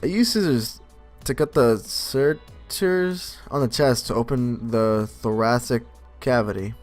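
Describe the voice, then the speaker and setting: A man's voice: mid-range voice, a little slow, darkish tone. Male gamer reading aloud over music. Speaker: gaming video host. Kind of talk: reading game text aloud with casual commentary.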